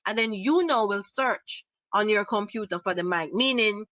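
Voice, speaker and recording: A woman with a Jamaican accent presenting a live software tutorial, upbeat and quizzing her audience as she demonstrates. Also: mid-range voice, moderate pace, dry recording, highs cut off. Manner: upbeat, asks the audience questions. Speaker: online workshop presenter. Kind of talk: live screen-share tutorial. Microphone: built-in laptop mic.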